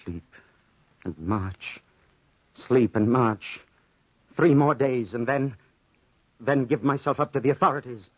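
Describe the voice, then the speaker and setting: A man's voice: mid-range voice, slow, pauses often, slightly rough, dry recording. A man playing a lovesick young soldier on the run in a 1940s radio drama, earnest, pleading and hesitant. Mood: wounded, pleading. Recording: muffled, dry 1940s radio recording.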